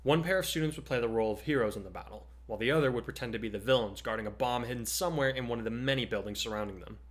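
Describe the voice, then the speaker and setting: A man hosting in an informal way, talking and reading his own fiction aloud. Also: mid-range voice. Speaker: podcast host and story author. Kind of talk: casual chat and dramatic story reading.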